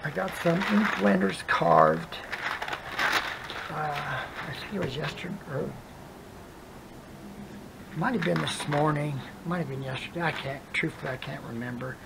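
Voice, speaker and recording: An adult craft vlogger chatting casually while working, with a hoarse voice that keeps cutting in and out, rambling softly and drifting into whispers. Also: mid-range voice, expressive, a little slow, pauses often, very noisy.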